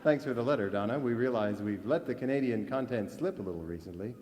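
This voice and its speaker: A man's voice: mid-range voice, expressive, fast, a little mellow. A man hosting a scripted live radio comedy show. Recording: live stage hall reverb, faint noise.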